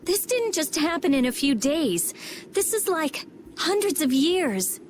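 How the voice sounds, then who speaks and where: Very high, insistent teenage girl. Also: big pitch swings, measured pace, slight background noise. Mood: puzzled. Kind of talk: English game dub acting.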